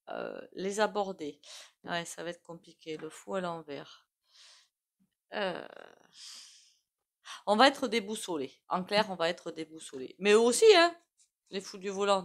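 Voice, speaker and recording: A woman giving a casual, rambling tarot reading, full of fillers and frequent throat clearing. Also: lowish voice. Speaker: tarot reader.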